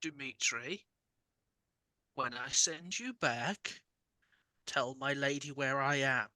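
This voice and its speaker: Man playing an earnest, hushed adventurer. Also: medium pitch, slow, no room sound, voice pushed down. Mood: solemn, hushed. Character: earnest adventurer giving orders to his summoned bat.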